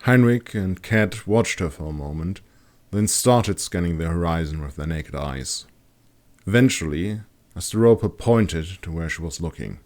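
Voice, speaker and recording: A man reading scripted fantasy audiobook narration in a dramatic, deliberate storytelling manner. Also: low voice, expressive, a little mellow.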